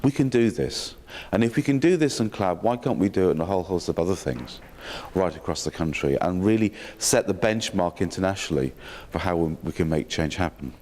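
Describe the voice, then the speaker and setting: A man with a slightly croaky voice giving a welcome speech at a health sector session, with dry self-mocking humour and many ums and restarts. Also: sits low, expressive, fairly quick, a little mellow. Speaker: opening speaker at a health sector learning session. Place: conference hall. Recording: long hall reverb.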